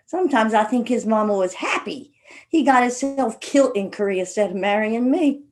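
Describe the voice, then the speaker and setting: A woman's voice: a little high, lively intonation, normal speed, a little mellow, natural voice. A woman acting a wistful, plain-spoken hill-country sister in a stage play, with an Appalachian accent. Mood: wistful, fond. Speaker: actor in a one-act play. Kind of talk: stage play dialogue, reminiscing.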